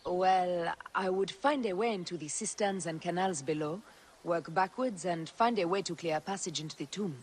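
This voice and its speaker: A woman's voice: on the low side, moderate pace, a little hiss or hum, close to an everyday voice. A woman playing a dry, confident and stubborn young female warrior in a video game cutscene.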